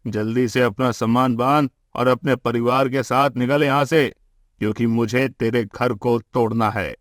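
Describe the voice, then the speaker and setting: A man's voice: medium pitch, fairly even intonation, unhurried, dry recording, studio quality, natural voice. A man voicing a commanding, pompous rich land-grabber who bullies the poor in a cartoon moral story. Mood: bullying, boastful. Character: gruff, bullying male land-grabbing rich villain.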